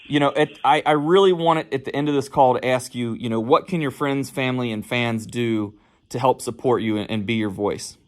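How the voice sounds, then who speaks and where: A man's voice: medium pitch, normal speed. A man talking sympathetically as an interviewer in a casual phone conversation.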